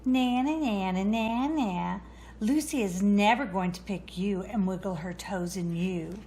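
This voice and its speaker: A woman's voice: mid-range voice, measured pace, darkish tone, a little hiss or hum, close to an everyday voice. A woman voicing mocking, childish shoes in a children's storybook, with sing-song taunting. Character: mocking gang of sandals and shoes, childish bullies.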